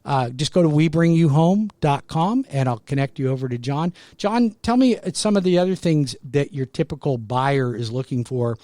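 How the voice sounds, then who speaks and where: A man's voice: expressive, normal speed, few pauses, mellow, no room sound, studio quality. Salesy sixty-something man radio host. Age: 60s. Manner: salesy, pitching investment with emphatic repetition. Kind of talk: radio talk show.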